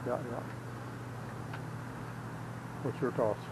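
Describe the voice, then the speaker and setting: Seorang pria paruh baya beraksen Kanada bergumam sambil membaca papan nama di jalan kota kecil, direkam kamera badan dengan suara lalu lintas yang keras. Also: male voice, mid-range voice, fairly even intonation, extremely slow. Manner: muttering, reads signs aloud, trails off. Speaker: vlogger filming a walking tour. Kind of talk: casual running commentary. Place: outdoors on a small-town street. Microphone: body-worn action camera. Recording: loud street traffic noise outdoors.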